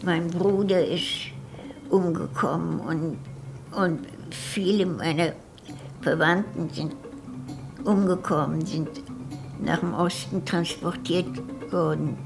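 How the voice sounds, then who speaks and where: An elderly woman being interviewed, reminiscing in short, halting phrases. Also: fairly low pitch, creaky, over music. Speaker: interviewee, pianist. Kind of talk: reminiscing in conversation.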